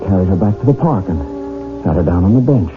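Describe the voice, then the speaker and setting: An actor playing an earnest, lovestruck English gentleman who tells his own tale in a period radio drama. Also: deep voice, lively intonation, fast talker. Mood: wistful. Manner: polite, romantic, storytelling. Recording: tinny band-limited old radio broadcast, music behind.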